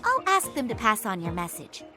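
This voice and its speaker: Sassy, lively game catgirl innkeeper. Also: mid-range voice, over music. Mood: helpful. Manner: teasing, wry, brisk. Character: sassy, bossy female innkeeper catgirl, older than she looks. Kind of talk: animated video game cutscene acting.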